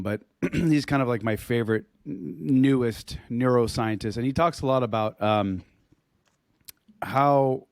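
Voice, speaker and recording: A man co-hosting a podcast in casual chat, his voice hoarse as he loses it, talking in a rambling way with restarts and throat clearing. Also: mid-range voice, no echo, studio quality. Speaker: podcast co-host.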